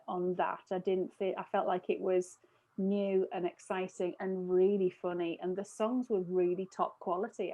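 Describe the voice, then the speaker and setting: A woman's voice: medium pitch, mellow, rough recording. A woman in her thirties chatting informally and a little hesitantly about films on a video call. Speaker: podcast film reviewer. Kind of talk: casual film chat.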